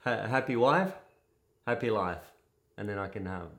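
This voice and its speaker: A man born in Serbia talks in an easygoing, halting way in a relaxed conversation with his partner. His voice is gravelly and fairly low. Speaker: podcast co-host. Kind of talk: casual couple's chat.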